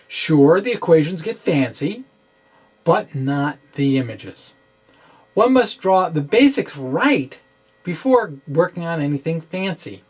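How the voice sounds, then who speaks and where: Slow-paced middle-aged man explaining science. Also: on the high side. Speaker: science blogger presenting. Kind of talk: informal dramatic reading of a physics explainer. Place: small indoor room with bookshelves. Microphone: microphone on stand close in front.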